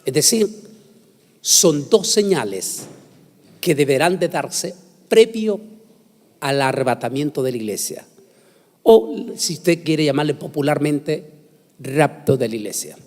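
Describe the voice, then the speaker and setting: A man's voice: fairly high pitch, animated, a little mellow. Solemn male preacher in a reverberant hall. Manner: solemn, emphatic, deliberate phrasing. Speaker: preacher giving a conference talk. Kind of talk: sermon lecture with scripture reading and prayer. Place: large hall, speaking to a congregation. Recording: echoey hall with long reverb.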